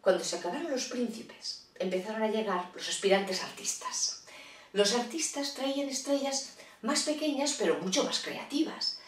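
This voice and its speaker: A woman telling a fairy tale to children, in a lively, expressive way with chatty asides. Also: fairly high pitch, moderate pace, far from the mic, a little hiss or hum. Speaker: storyteller. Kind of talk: children's storytelling. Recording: roomy echo, voice off-mic.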